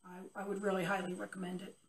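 A woman's voice: mid-range voice, completely flat delivery, quick pace, distant mic, poor audio. Older woman giving a testimonial. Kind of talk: unscripted testimonial interview. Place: cluttered unfinished basement.

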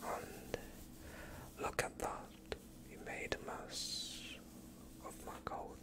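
A young man playing a polite vampire doctor in an ASMR roleplay, soothing and evasive with a sinister undertone, speaking in a hushed voice close to the mic. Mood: calmly evasive. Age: young adult. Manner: hushed, slow, courteous, evasive. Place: quiet indoor studio, dark backdrop. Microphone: close off-screen microphone.

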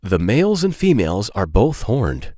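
A man in his thirties hosting a children's read-aloud, speaking in simple, clearly phrased sentences to young listeners. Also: fairly low pitch, huge pitch swings, moderate pace, warm tone, dry recording, clean studio sound.

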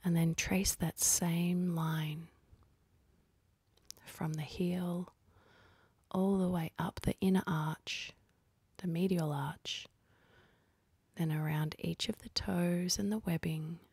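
A woman leading a guided meditation in a soft, husky, breathy voice, speaking calmly and gently with unhurried pauses. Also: fairly low pitch, no echo. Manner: calm, gentle, unhurried instructing with long pauses.

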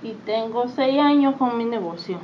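Frank young Dominican woman, a bit high. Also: animated, normal speed, muffled recording. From Dominican Republic. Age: young adult. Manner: frank, matter-of-fact. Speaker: interviewee, massage business owner. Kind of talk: casual interview answers. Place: outdoors on a patio. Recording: background noise.

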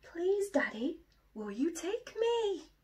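A woman's voice: very high voice, sing-song, takes it slowly, darkish tone, pitched above the performer's own voice, full character voice. A woman doing an eager little bear cub. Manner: eager, pleading.

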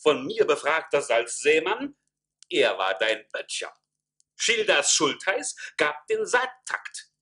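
A pompous imperial envoy in a radio play. He declaims slowly in a husky voice that swings widely in pitch, full of astonishment. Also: sing-song, sharp tone, exaggerated voice. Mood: astonished. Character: pompous mustachioed male imperial envoy on horseback.

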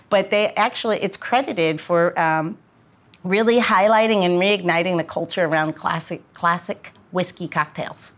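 A woman's voice: mid-range voice, a bit bright. A woman hosting a podcast in a casual, chatty style. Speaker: podcast host.

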